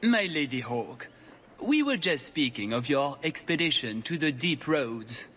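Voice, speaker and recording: A man's voice: on the high side, sing-song, unhurried, sharp tone, in a large hall, slight background noise, exaggerated voice. A man playing a suave, courtly young nobleman in a video game, with polite, formal and somewhat haughty speech. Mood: courteous. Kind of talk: video game dialogue acting.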